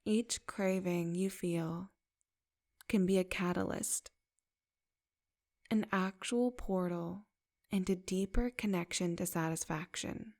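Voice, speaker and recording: A woman leading a guided meditation in a soft, unhurried, affirming way. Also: fairly low pitch.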